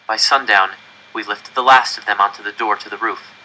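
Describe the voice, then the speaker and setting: A man reading a first-person story aloud in an expressive, dramatic storytelling style. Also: on the low side, a little fast, poor audio. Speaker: audiobook reader.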